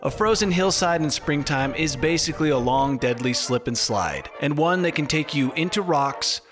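A man reading scripted, mock-documentary narration in a deadpan, tongue-in-cheek formal way. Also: on the high side, moderate pace. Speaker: narrator of a skiing explainer video. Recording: music bed under voice-over. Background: music.

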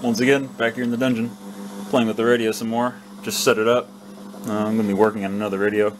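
A man talks casually to his viewers as a hobbyist at work. He is recorded on a camera mic in a small indoor workshop, with clear hiss and hum behind him. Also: on the low side, level tone, quick pace. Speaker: amateur radio hobbyist filming himself. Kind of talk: casual vlog commentary. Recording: noisy room with clear hum. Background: radio hiss and hum.

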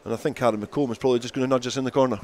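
A man's voice: mid-range voice, steady tone, rapid-fire. A man giving live play-by-play rugby commentary from the ground.

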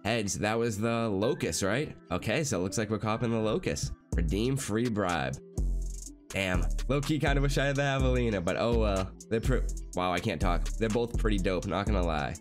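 A young man with a congested, nasal voice from a cold, chatting casually as he walks through a video game, with a steady stream of fillers. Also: on the low side, soft background music. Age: young adult. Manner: fast, chatty, with lots of "like" fillers. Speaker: gaming YouTuber. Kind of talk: casual gameplay commentary. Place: small bedroom.

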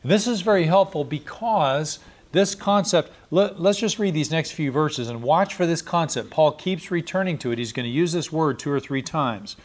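A man's voice: fairly high pitch, expressive, moderate pace. A middle-aged man giving a verse-by-verse Bible study, explaining and repeating Greek words, seated in a small studio with a lapel mic. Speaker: Bible teacher.

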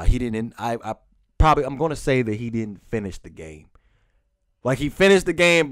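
A man giving an unscripted post-game football recap as a fan, informal and halting, repeating phrases for emphasis. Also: mid-range voice, dry recording, clean studio sound. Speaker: sports commentary vlogger and football fan.

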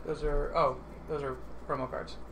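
A man's voice: a little high, level tone, normal speed. Man teaching a board game, noisy room. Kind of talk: casual instructional game talk. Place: indoor room around a gaming table.

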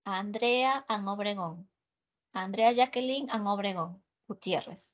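A woman with a Peruvian Spanish accent teaching an online English class, repeating her instructions slowly and switching to Spanish. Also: mid-range voice. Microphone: video call. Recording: muffled video call audio.

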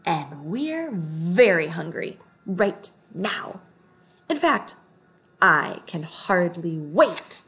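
Lady doing a hungry storybook tiger, menacing n taunting, drags out words, bit of gravel in it. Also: on the low side, very animated, a bit bright, exaggerated voice. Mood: hungry, eager. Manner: taunting, emphatic, drawn-out words. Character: pack of hungry, menacing talking male tigers. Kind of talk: children's storybook read-aloud.

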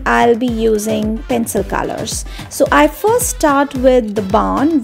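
A woman narrating a step-by-step drawing tutorial for children in an unhurried, explanatory way. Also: high-pitched, vocal fry, darkish tone.

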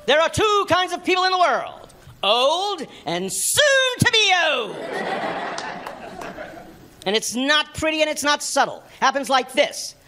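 An older man from New York City doing stand-up comedy, emphatic and animated, performing to a crowd. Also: very high voice, measured pace. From New York City, United States. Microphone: stand microphone on stage. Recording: noisy comedy club with audience.

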